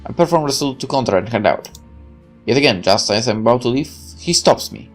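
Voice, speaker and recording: A man's voice: mid-range voice, expressive, a little fast. Man reading a game aloud over music. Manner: stumbles over read lines, mutters asides. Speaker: gaming video maker. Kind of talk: reading a visual novel aloud with asides. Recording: music under the voice.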